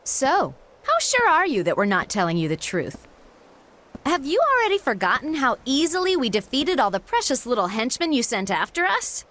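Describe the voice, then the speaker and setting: A woman's voice: sits high, huge pitch swings, moderate pace, a bit bright, heavily acted. An actress playing a self-assured, mocking young girl in a game cutscene. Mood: taunting. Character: taunting, confident young girl. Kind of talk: video game cutscene acting.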